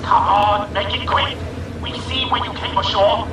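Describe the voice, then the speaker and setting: An actor playing a menacing armed henchman in a radio drama, calling threats loudly through what sounds like a loudhailer. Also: high-pitched, fairly even intonation, unhurried. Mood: threatening. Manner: loud, threatening, taunting. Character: menacing male armed henchman hailing from a boat. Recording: very echoey, distant, over engine noise.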